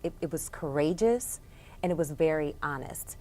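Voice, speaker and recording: A woman interviewer with a somewhat low voice speaks with lively enthusiasm. She asks her guests questions and heaps praise on their work. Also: normal speed. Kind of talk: casual interview.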